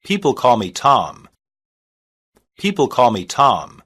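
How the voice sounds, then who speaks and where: A reader saying short English practice sentences slowly and evenly, each one twice, for a language lesson. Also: medium pitch, sing-song, fairly bright tone, husky. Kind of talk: slow repeated phrase reading.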